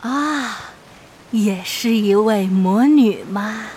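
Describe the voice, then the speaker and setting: A woman voicing a gentle, frail old grandmother with an aged, breathy voice in a children's audio drama. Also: medium pitch, drawn-out words. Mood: curious. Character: gentle, frail old granny, worried and fond.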